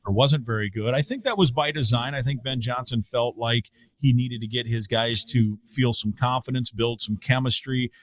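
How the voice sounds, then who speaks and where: A man who calls radio play-by-play, a guest answering questions in a measured, explanatory way. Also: medium pitch. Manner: measured, explains carefully with restarts. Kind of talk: interview answer.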